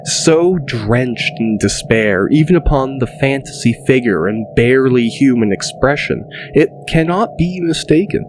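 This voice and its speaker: A male narrator reads a dramatic fantasy audiobook. His delivery is slow and deliberate, at an average pitch, with music playing clearly behind him. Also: lively intonation, poor audio. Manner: deliberate, descriptive.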